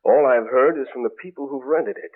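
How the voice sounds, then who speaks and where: An actor playing a subdued, grieving older landlord in a vintage radio drama. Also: male voice, medium pitch, a little fast, natural voice. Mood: weary, sorrowful. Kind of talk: 1940s radio drama acting. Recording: tinny old radio recording.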